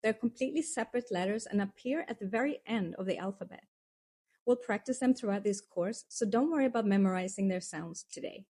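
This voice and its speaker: A woman reading scripted narration for a language lesson in a calm, reassuring way, explaining step by step. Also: medium pitch, fairly quick. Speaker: course narrator.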